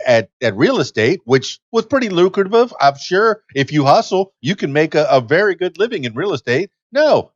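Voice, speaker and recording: A man in his fifties, a podcast host and DJ, chatting in a lively, self-deprecating way from a home studio. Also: on the high side, dry recording, lo-fi sound. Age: 50s. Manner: lively, self-deprecating, talks about himself with gusto. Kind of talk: casual interview chat. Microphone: desk mic on a boom arm.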